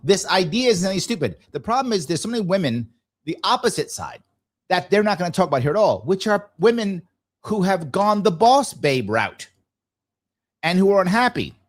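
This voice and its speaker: Animated, high-pitched man giving blunt commentary. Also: moderate pace. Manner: emphatic, repeats phrases for effect; blunt, argumentative. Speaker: talk show host. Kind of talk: live opinionated commentary.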